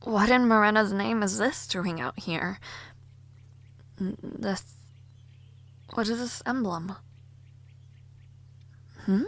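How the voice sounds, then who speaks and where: A young woman voicing a spirited young noblewoman mage, giggly and formally spoken, with soft whispered asides, for an audio roleplay. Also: medium pitch, slow, pauses often. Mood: curious.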